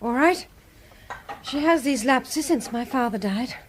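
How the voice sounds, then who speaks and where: A woman's voice: high voice, expressive, measured pace, slight background noise, rough recording. An actress playing a cool, barbed woman in a radio drama, speaking in a pointed, sardonic way. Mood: cool, offhand. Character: cool, barbed woman, wary of an old friend.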